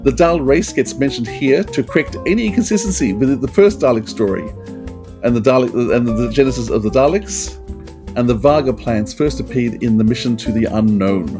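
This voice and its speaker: A man's voice: medium pitch, animated, normal speed, over music. A man presenting informative commentary on a podcast.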